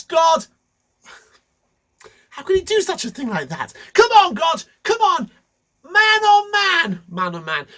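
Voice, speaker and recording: Storyteller putting on a gloating, shouting, boastful general. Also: mid-range voice, voice pushed up, heavily acted. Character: boastful arrogant male conqueror general.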